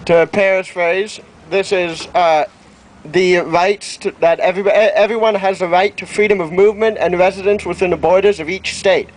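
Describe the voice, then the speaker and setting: A man speaking publicly outdoors, paraphrasing a document in a halting, deliberate way. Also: high voice, fairly even intonation, rough recording. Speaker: public speaker at a rights event. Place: outdoor town plaza. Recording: outdoor recording with faint street noise.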